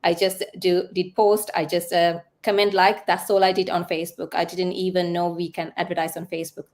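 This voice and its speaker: Lower-pitched Sri Lankan woman. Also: level tone, fairly quick, darkish tone. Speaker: guest, nurse in online business. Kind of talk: casual testimonial talk. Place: live online video call.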